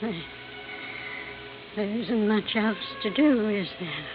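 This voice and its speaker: An actress playing a frail, dying, genteel old lady in an old radio drama, speaking in a halting, gracious, weary way. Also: mid-range voice, music in the background, close to an everyday voice. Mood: weary, confessional. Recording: tinny, noisy old radio recording.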